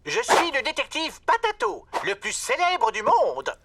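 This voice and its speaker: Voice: high voice, wildly swooping pitch, a little slow, over a noisy crowd, exaggerated voice. A performer voicing a pompous, boastful male potato detective in a children's cartoon dub, theatrical in delivery. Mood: self-important.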